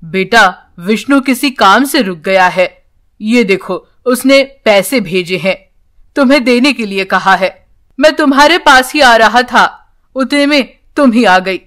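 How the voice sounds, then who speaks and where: A woman voicing a kindly older village uncle in a storybook tale, gentle and earnest. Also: a little high, expressive, measured pace. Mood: reassuring.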